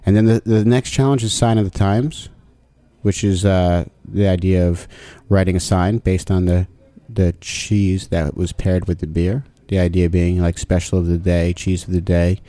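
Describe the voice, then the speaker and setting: A low-pitched man with a dark, mellow voice chats casually. He hypes things up playfully, restarting sentences as he goes. The sound is dry and close. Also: steady tone, normal speed, no room sound. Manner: enthusiastic, playful, with hype-man shout-outs and restarts. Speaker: podcast guest, cheese competition organizer. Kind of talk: casual chat.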